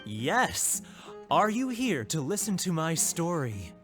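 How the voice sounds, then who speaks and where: A man's voice: a little high, sing-song, slow, a bit bright, soft background music, full character voice. A man voicing a resigned, self-deprecating cafe storyteller in a video game, with a theatrical manner. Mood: dejected.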